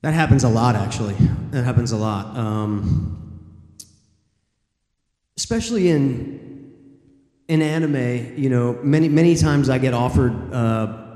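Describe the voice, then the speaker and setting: A man, a voice actor on a convention panel, telling a long studio anecdote in a relaxed, rambling way. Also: medium pitch, measured pace, pauses often. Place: large convention hall. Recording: echoey hall with long reverb.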